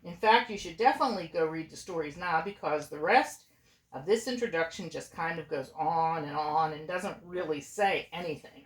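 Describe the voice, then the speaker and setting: A woman's voice: sits low, normal speed. A woman, a mother, reading a storybook aloud informally and expressively, with playful asides to her family. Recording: echoey room.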